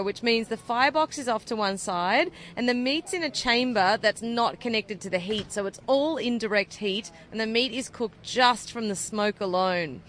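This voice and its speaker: A woman's voice: fairly high pitch, fairly bright tone. A woman in her thirties with an Australian accent, explaining her barbecue cooking with enthusiasm in an outdoor interview at a food event. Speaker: barbecue cook.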